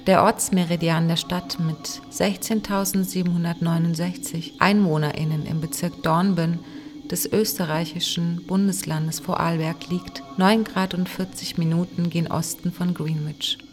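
A woman's voice: on the low side, fairly even intonation, moderate pace. A woman performing a spoken-word reading of literary text. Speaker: reader of literary texts. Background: faint music.

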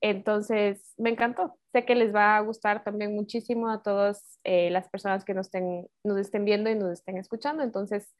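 A woman's voice: medium pitch, flat delivery, normal speed, few pauses. A cheerful woman co-hosting a casual interview, asking the guest questions. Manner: cheerful, laughs often. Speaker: podcast co-host.

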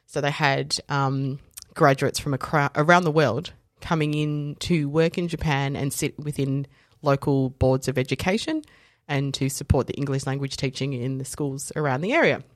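A woman's voice: very deep voice, normal speed, few pauses, no echo, studio quality. A woman, a podcast guest telling stories in casual conversation, full of fillers and self-corrections. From South Africa, raised in Australia. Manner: animated storytelling, acts out other people's lines.

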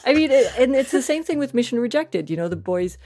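A woman's voice: on the high side, big pitch swings, fast talker, a little mellow. A woman chatting casually as an interview guest, effusive and self-deprecating, acting out little asides as she tells her stories. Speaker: interview guest, podcast writer and voice actor.

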